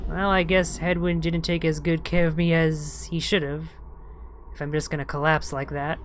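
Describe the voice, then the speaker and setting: A let's player giving casual video game commentary, musing out loud and reading game text aloud. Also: mid-range voice, little pitch movement, moderate pace, slight background noise. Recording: game music behind the voice.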